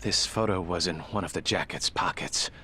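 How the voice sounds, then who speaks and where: A man's voice: sits low, bright, forceful tone, slight background noise. An actor playing a formal, guarded male medical examiner in a video game drama, choosing his words with care. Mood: composed, formal. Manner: formal, measured diction, falters when pressed. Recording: music behind the voice.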